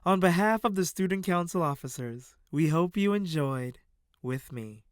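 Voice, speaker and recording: A man playing a solemn storyteller, giving measured, dramatic storybook narration for a fairy-tale stage play. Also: fairly high pitch, animated, clean tone, close to an everyday voice. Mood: solemn, formal.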